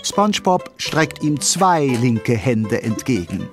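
A man's voice: mid-range voice, very animated, slow pace, music in the background. A man narrating a children's audio drama as a scripted storyteller.

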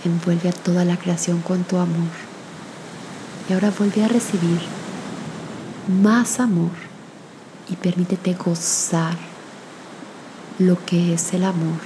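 A woman with a slightly low voice guides a meditation very slowly. Her delivery is gentle and soothing, leaving long pauses between phrases. A clear, steady hiss sits behind her voice. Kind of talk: guided meditation with spoken prayer.

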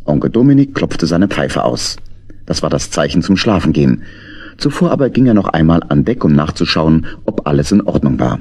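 A man narrating a children's audio story from a script, with a husky voice. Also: extremely low pitch, sing-song, a little fast, darkish tone.

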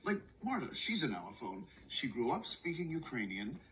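An actor playing a calm man in a conversation club who explains terms and suggests limits, in a scripted listening-test dialogue. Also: mid-range voice, normal speed, slight background noise, poor audio. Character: calm adult man, bilingual club member.

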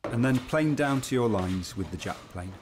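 A man giving measured, step-by-step woodworking instruction as a scripted studio voice-over. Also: mid-range voice, lively intonation, a little mellow. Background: music.

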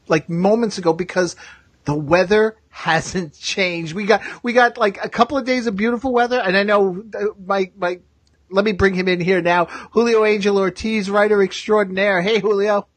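A man's voice: high-pitched, moderate pace, dry recording. A man hosting a podcast in casual live chat, talking chattily and often repeating himself. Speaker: podcast host.

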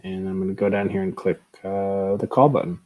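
A middle-aged man giving a casual screen tutorial in a halting, informal way, on an earbud microphone at home. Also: sits low, flat delivery, warm tone. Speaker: tutorial presenter, educator. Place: room at home, indoors. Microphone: earbud headset microphone.

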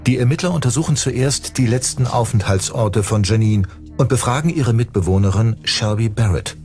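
Male narrator for a scripted crime documentary, mid-pitched, light music bed. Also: lively intonation, moderate pace, a little mellow.

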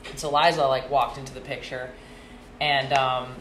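A woman's voice: very deep voice, flat delivery, normal speed. A woman in her twenties from Hong Kong, a company founder telling her founding story on a podcast in a casual, chatty way full of ums and likes. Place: sunny glass-walled room, indoors. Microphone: small desk mic on stand. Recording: echoey room, faint hiss.

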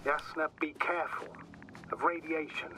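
A man playing a cautious, concerned space mission commander in a video game, dry and measured, heard through a radio. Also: on the high side, steady tone. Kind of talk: naturalistic video game voice acting. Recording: noisy radio link.

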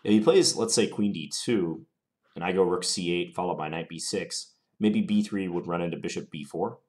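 Deep-voiced man calmly analysing chess moves. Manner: calm, measured, analytical. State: has a slight cold. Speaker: chess commentator playing online. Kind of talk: thinking aloud during a chess game.

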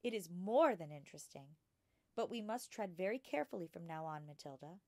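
Gentle, cautious female schoolteacher played by a woman reader, storybook style, patient and measured, in a dry space. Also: on the low side, animated, no echo. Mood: careful, patient. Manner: patient, careful, measured explaining. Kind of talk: children's storybook character reading.

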